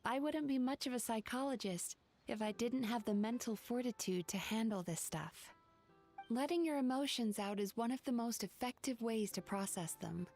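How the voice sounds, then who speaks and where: A woman voicing a gentle young female spirit in a video game, a caring psychologist who speaks softly and reflectively. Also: fairly high pitch, moderate pace, faint music behind. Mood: reassuring, reflective. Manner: soft-spoken, reflective, reassuring, sighing. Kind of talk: video game character acting.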